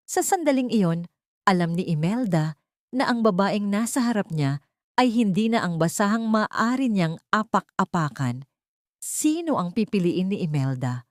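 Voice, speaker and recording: A woman's voice: fairly low pitch, a little mellow, dry recording, clean studio sound. A woman narrating a scripted story in a theatrical, suspenseful manner.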